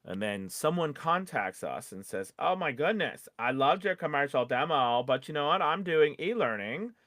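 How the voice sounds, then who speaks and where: A man co-hosting a podcast, giving casual advice in a halting, rambling way, often restarting his sentences. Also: a little high, animated, fairly bright tone, no room sound, studio quality. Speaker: podcast co-host and voice actor.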